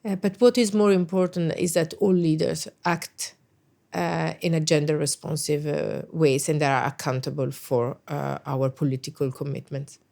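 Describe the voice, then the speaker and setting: A woman's voice: deep voice, fairly even intonation, moderate pace, gravelly, darkish tone. A middle-aged woman, an English speaker, answering interview questions thoughtfully with frequent hesitations and restarts. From Italy. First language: Italian. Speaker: guest, NATO special representative.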